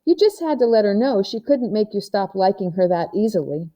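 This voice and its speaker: A woman reads a children's story aloud as narrator. Her voice is very mellow and dark-toned, sitting at an ordinary pitch for a woman. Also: animated, brisk. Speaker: audiobook reader.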